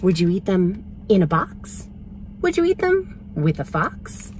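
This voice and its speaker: A persistent storybook pest coaxes in sing-song rhymed questions, as read out by a woman. His pitch bounces around a great deal, and light vehicle cabin noise is audible. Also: big pitch swings, measured pace, darkish tone, low-quality recording. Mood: eager coaxing. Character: persistent, coaxing male food pusher in a storybook. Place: inside a parked vehicle.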